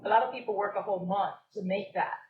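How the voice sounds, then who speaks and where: A woman's voice: mid-range voice, quick pace, echoey room, narrow, tinny audio. A young woman from Jamaica, a business co-owner and guest on a live podcast, telling her story and laughing often. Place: stage at a live event.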